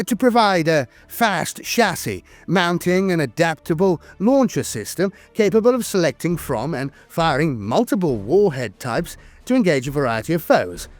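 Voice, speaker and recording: A man reading scripted lore narration as an in-world chronicler, formal and measured, with frequent hesitations. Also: on the high side, no echo.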